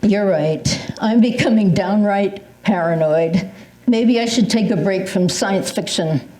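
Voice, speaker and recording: A woman's voice: medium pitch, unhurried, mellow, close to an everyday voice. An older woman playing an exasperated, comically paranoid senior in an amateur readers theatre comedy, complaining wryly and theatrically. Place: indoor live readers theatre performance. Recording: roomy, echoing live stage pickup.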